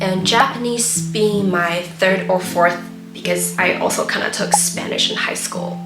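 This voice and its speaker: A woman whose first language is Mandarin Chinese, hosting her own channel and giving earnest, steady tips in scripted tutorial narration. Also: mid-range voice. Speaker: YouTube host. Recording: roomy echo with loud background music.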